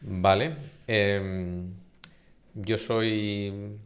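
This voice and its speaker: A middle-aged man from Spain with a Castilian accent, a university vice-rector speaking as an interview guest, emphatic and animated, often posing rhetorical questions. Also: on the low side, extremely slow. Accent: Castilian Spanish. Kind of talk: conversational interview.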